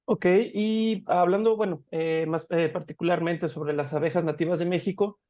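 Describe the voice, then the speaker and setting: A man's voice: on the high side, fairly even intonation, normal speed, mellow, lo-fi sound. A man interviewing a guest, asking questions hesitantly with many fillers.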